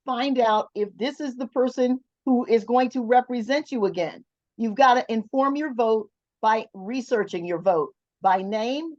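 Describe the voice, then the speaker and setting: A woman's voice: sits high, darkish tone, no echo. A woman moderating a political meeting, introducing speakers in an upbeat, emphatic way. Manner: upbeat, emphatic, keeps things moving. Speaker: event moderator. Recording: dull, dry sound.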